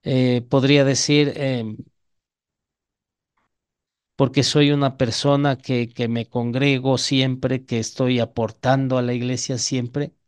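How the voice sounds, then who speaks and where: A man's voice: medium pitch, level tone, slow pace, clear, resonant tone. A man with an Ecuadorian Spanish accent preaching an evangelical sermon in a didactic way, often putting questions to his listeners.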